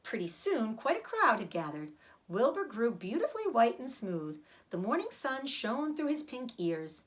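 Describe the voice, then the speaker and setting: A woman reads a children's story aloud with very lively, swooping intonation. She narrates expressively, in the animated manner of a teacher acting out a book. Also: on the high side, normal speed. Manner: animated, acts out dialogue.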